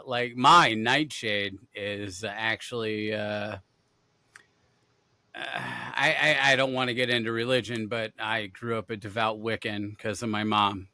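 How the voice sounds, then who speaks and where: An upbeat man from Denver hosts a casual gaming podcast, rambling happily through lots of fillers and restarts. His voice sounds bright and forceful. The recording is dry and close to the mic. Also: mid-range voice, dry recording. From Denver, USA. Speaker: podcast host.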